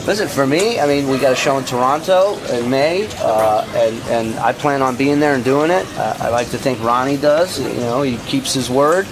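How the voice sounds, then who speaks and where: A man talks casually and bluntly, pressing his points in an unscripted dispute. His voice sits at a medium pitch, with clear noise behind it. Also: moderate pace, hardly any pauses. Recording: clearly noisy, ambient rumble.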